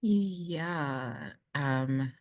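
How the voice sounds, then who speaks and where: A woman's voice: sits low, drawn-out words, no room sound, highs cut off. Casual woman player, dry close sound. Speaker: tabletop game player. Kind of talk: casual chat and in-game description.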